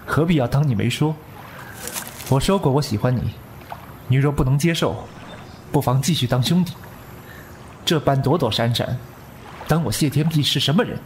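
An actor playing a proud, devoted young martial-arts man in a wuxia audio drama, measured, earnest and quietly intense. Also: mid-range voice, a little hiss or hum, lightly acted. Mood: proud, direct.

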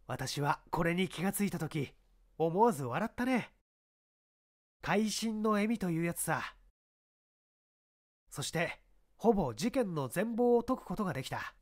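A man voicing a confident police inspector in a dramatised detective story, explaining his deductions in an emphatic, rhetorical way. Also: high-pitched.

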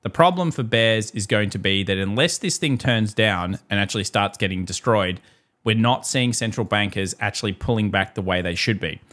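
Australian male market analyst, lowish voice, brisk opinionated chart commentary. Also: fairly bright tone. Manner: brisk, opinionated, asks and answers own questions. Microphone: voice-over on screen recording.

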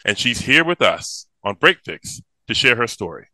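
A man's voice: mid-range voice, very animated, normal speed, pushed and bright, no room sound, low-quality recording. A man co-hosting a podcast and reading a scripted guest introduction. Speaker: podcast co-host.

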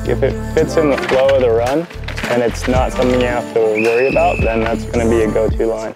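A man with a mid-pitched voice talks plainly and matter-of-factly about the race track. He thinks aloud as he goes, weighing one option against another. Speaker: downhill racer. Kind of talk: casual chat.

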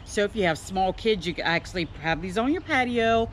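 Older American female, medium pitch, playful casual vlogger, light outdoor noise. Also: lively intonation, a little fast. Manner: playful, self-deprecating. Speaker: vlogger showing her home garden. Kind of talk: casual chat to camera. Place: outdoors in a fenced backyard. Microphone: handheld camera at arm's length. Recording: clearly noisy outdoor ambience.

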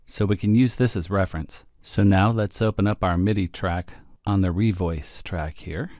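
Low-voiced man giving a casual software tutorial. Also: steady tone, moderate pace, no echo, studio quality. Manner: informal, wry, self-deprecating. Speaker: audio software instructor. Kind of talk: casual screencast tutorial, thinking aloud while demonstrating. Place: indoor close-miked voice-over, dry.

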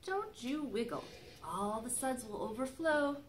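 A woman's voice: fairly high pitch, very animated, measured pace, a little hiss or hum, voice pushed up. A woman voicing a fussing, loving mother dinosaur in a picture book, in a sing-song, motherly way. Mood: gently scolding. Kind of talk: picture-book read-aloud.

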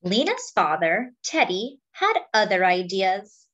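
A woman reading a children's picture book aloud as a story-time host, with deliberate phrasing for young listeners. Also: medium pitch, sing-song, fairly bright tone, muffled recording.

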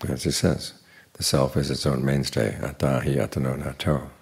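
An older man, a Buddhist monk, giving an evening dharma talk in an unhurried, plain teaching manner with dry humor. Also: fairly even intonation, darkish tone. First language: English. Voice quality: very deep gravelly voice.

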